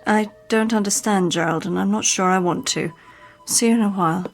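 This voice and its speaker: An actress playing a repressed, long-suffering wife with formal diction in an emotional old-time radio drama style. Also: female voice, mid-range voice, a little fast, faint music behind, natural voice. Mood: uneasy, reluctant.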